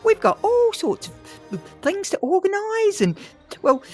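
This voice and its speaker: A woman's voice: very high voice, sing-song, measured pace, a little mellow, faint music behind, exaggerated voice. A woman voicing a doting female ogre with a gushing, eager manner.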